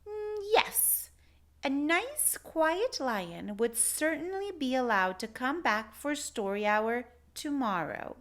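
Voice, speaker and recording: A woman's voice: high-pitched, sing-song, slow pace, fairly bright tone, voice pushed up, heavily acted. A woman voicing a stern, commanding but fair female head librarian in a children's storybook. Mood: considering, approving.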